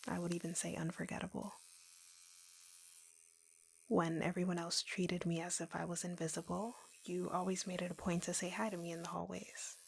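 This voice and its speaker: A woman voicing a lovesick, obsessive tattoo artist in an intimate roleplay audio, softly and breathily, in a slow, confiding way. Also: fairly low pitch. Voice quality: soft, breathy, whispery. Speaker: roleplay voice performer.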